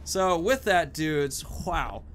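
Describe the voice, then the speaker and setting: A male gamer with a fairly high, bright voice gives casual let's play commentary. He thinks aloud informally, with faint noise in the background. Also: animated, slow. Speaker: gaming video host.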